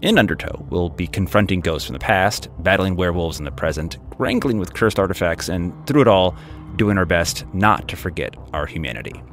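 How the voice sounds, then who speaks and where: A man from Maine, a podcast creator and host, reading a scripted horror promo. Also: sits low. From Maine, United States.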